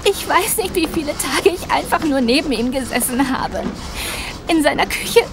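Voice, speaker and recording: A woman's voice: high-pitched, lively intonation, moderate pace. An actress playing an anxious, devoted young female carer, earnest and hesitant, in an audio drama. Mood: distraught. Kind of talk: German audio drama acting. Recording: noisy ambience behind.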